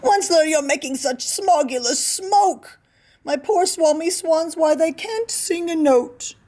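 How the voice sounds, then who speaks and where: A woman's voice: extremely high pitch, expressive, unhurried, darkish tone, voice pushed up. A woman voicing a small grumpy male forest creature who scolds and pleads, as in a read-aloud storybook. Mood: pleading.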